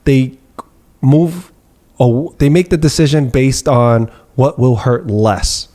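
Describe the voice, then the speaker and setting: A man's voice: mid-range voice, fairly even intonation, slow pace, mellow. A man hosting a podcast, reflective and asking probing questions in casual conversation. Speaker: podcast host.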